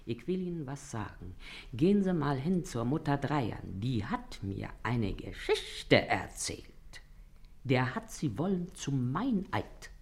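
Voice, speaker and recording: Low-voiced, animated, earthy gossiping woman. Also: takes it slowly, heavily acted. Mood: sly, gossipy. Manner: folksy, emphatic, confiding, with repeated exclamations. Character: shrewd, earthy, gossipy middle-aged working-class woman. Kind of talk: German radio play acting.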